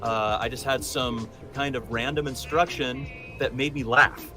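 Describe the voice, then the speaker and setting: A man's voice: medium pitch, steady tone, a little slow, music in the background. A man in his forties, a voice actor being interviewed, telling stories in an enthusiastic, emphatic conversational way. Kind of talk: animated conversational storytelling.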